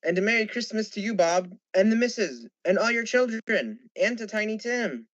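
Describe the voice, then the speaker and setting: A man's voice: high-pitched, animated, moderate pace, fairly bright tone, no room sound, muffled recording. A man playing a cheerful, good-hearted young nephew in a radio drama, speaking earnestly. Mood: kindly.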